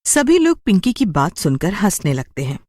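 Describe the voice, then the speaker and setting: A woman narrates a scripted story with dramatic flair. Her voice is low and moves widely in pitch, in a dry, close recording. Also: very animated, normal speed, darkish tone, dry recording.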